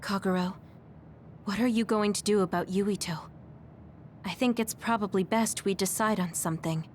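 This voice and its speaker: A woman's voice: mid-range voice, fairly even intonation, normal speed, a little mellow, a little hiss or hum, lightly acted. An actress voicing a serious, watchful young woman with a composed delivery in an anime game. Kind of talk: English anime game voice acting.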